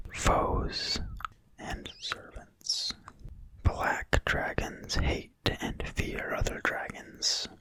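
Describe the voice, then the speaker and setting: A person reading aloud in a quiet, breathy whisper, slowly and soothingly, in an ASMR style. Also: poor audio. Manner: quiet, slow, deliberate, soothing delivery. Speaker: ASMR video creator and reader. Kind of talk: whispered ASMR reading of a fantasy game manual.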